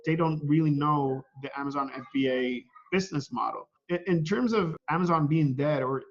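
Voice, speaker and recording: A man from Venezuela, a guest on a casual call interview, talking in a halting way and repeating words as he thinks. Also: a little high, dull audio. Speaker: guest, online seller.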